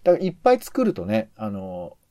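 A man's voice: fairly low pitch, wildly swooping pitch, fairly quick, dark tone, dry recording. A man co-hosting a podcast, giving his commentary in casual conversation. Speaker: podcast co-host.

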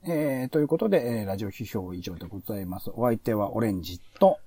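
A man's voice: lowish voice, lively intonation, fast talker, warm tone, husky, no room sound. A man hosting a podcast in casual review chat, chatty and thinking aloud as he goes. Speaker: podcast host.

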